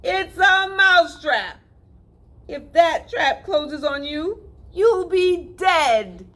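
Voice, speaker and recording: A woman voicing an alarmed, fussy little city mouse in a read-aloud storybook, giving a shrill, urgent warning. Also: lively intonation, drawn-out words, pushed and bright, full character voice.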